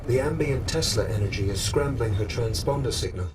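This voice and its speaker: Game role: polite machine butler, male actor, medium pitch, formal and measured, heard at a distance. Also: lively intonation, background noise, lightly acted. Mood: calm, formal. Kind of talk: video game voice acting. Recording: distant, under loud game soundtrack.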